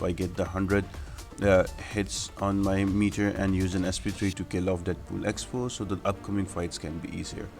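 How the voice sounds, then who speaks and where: A man with a low-pitched voice talks through a mobile game strategy guide. He explains things casually and step by step, as a gaming channel host would. Music plays clearly behind his narration. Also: darkish tone. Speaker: video game guide YouTuber. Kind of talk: casual gameplay walkthrough narration. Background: music under the voice.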